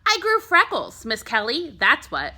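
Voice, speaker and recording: An earnest, cheeky young boy from a storybook, played by a woman. His replies are blunt and childlike, in a bright voice with lively pitch. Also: a little slow, heavily acted. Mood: defiant.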